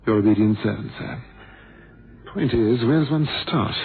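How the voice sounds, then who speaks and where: An actor playing a blind former pilot, theatrical and bitterly witty, with a wry, wordy, ironic manner, in a radio drama. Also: male voice, lowish voice, unhurried, creaky. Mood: wry, teasing. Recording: noisy, thin phone-like old radio recording.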